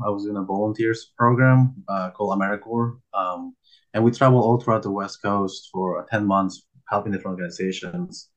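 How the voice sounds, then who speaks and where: A man's voice: lowish voice, poor audio. A young man from Peru, talking casually and a bit rambling with many fillers, as a guest on a video call. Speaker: guest, nonprofit founder. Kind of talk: casual interview chat.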